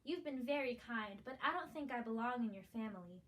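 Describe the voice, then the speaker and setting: A woman voicing a gentle, polite, lonely little boy bunny in a storybook. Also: a little high, lively intonation, brisk. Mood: hopeful, polite.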